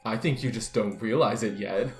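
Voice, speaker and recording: A woman's voice: rumbling low, moderate pace, faint music behind, pitched below the performer's own voice. A woman playing a cryptic, sinister, matter-of-fact masked killer in an amateur dramatic reading. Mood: sinister, insistent. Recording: echoey room.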